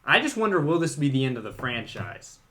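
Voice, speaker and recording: A man's voice: medium pitch, very animated, fairly quick, a bit bright. A man co-hosting a podcast, giving his opinions on films in a casual, conversational way. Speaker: podcast co-host. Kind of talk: casual film discussion.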